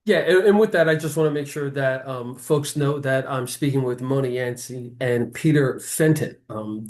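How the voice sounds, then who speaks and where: A man hosting a conversational interview, announcing things in a deliberate, wordy way. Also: mid-range voice, darkish tone. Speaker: interview host. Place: remote call, recorded on a backup device.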